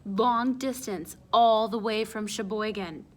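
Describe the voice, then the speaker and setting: A woman's voice: on the high side, slow, a little mellow. Woman acting a proud, stern, emphatic father. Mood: pleased, announcing. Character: proud, stern, hard-working immigrant father of a boy.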